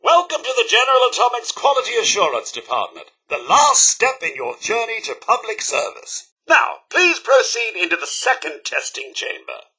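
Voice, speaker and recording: Fussy robot butler for a game, super animated, sharp and a bit raspy. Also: male voice, extremely high pitch, moderate pace, hardly any pauses, exaggerated voice. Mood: chirpy, formal. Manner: theatrical, pompous, over-polite. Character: fussy, prim male robot butler, servile yet snide. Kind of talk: video game voice acting.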